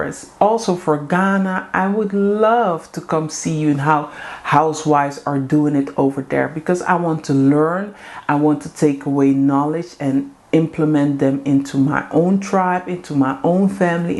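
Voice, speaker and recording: A woman's voice: sits low, a little mellow. A woman, hosting her own vlog and talking straight to her viewers in an earnest, heartfelt, chatty way with the odd "um". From Suriname-Creole family in the Netherlands. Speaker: vlog host. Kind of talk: casual direct-to-camera talk.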